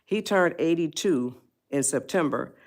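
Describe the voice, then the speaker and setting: An elderly woman in her eighties talks to her live stream audience at an unhurried pace. She calls her viewers y'all, laughs easily and circles back when she loses her thread. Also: deep voice, animated. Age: 80s.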